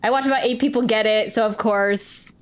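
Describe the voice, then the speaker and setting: A woman's voice: fairly high pitch, steady tone, fairly quick. A woman livestreaming a game, chatting casually in a hesitant, word-repeating way and reading game text aloud. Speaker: game streamer.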